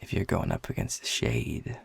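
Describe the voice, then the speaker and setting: Guy acting as a feisty flirty werewolf dude, super gravelly n raspy, cocky, blunt, audio rp talking right to you. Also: very animated, normal speed, exaggerated voice. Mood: sincere, wary. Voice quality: gravelly, husky low voice. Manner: swearing, teasing, informal and blunt. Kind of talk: intimate audio roleplay, addressed to the listener.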